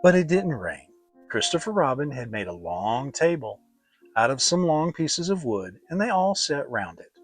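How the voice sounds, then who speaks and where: Animated male storybook narrator. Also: mid-range voice, normal speed, faint music behind. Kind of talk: dramatic children's story narration.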